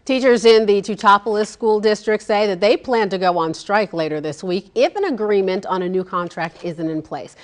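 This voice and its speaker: A woman's voice: medium pitch, normal speed, few pauses. A woman with an American accent reading a scripted local television newscast as anchor. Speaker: news anchor. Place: TV news studio.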